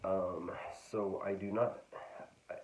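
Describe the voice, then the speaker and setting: A man casually talking through a book haul, hesitant and full of fillers, his voice getting raspy. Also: low voice, little pitch movement, slow, phone-quality sound. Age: adult. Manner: hesitant, full of ums and restarts. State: getting raspy, clearing throat. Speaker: book vlogger.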